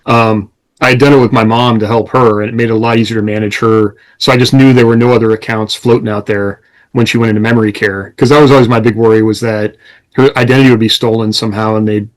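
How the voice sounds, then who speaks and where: A man's voice: fairly low pitch, steady tone, quick pace, low-quality recording. A man co-hosting a casual podcast, talking in an explanatory, conversational way. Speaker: podcast co-host and author.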